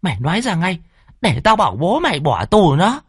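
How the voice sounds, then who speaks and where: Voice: medium pitch, big pitch swings, breathy edge, dry recording, studio quality, voice pushed up. A storyteller voicing a middle-aged village mother with a northern Vietnamese accent, scolding in hissed, wailing, badgering outbursts. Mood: furious. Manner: hissing, rapid badgering questions, wailing exclamations. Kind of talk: dramatic audio storytelling.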